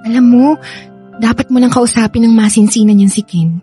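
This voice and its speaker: Concerned female friend, patient, advising, highish mellow voice, Filipino accent, radio drama, faint music. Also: brisk, slightly rough.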